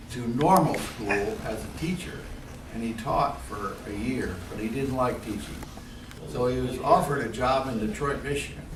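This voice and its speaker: An older man with an American accent, recalling family history in a casual, halting and tentative way. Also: mid-range voice. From Ohio, United States. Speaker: family elder answering questions. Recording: distant mic, echoey room, noisy.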